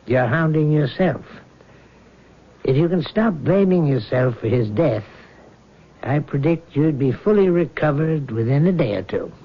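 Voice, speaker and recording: An American actor playing a calm, firm, reassuring doctor in a 1970s radio drama. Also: male voice, on the high side, a little slow. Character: calm reassuring male doctor treating a haunted young woman. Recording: dull lo-fi 1970s radio recording.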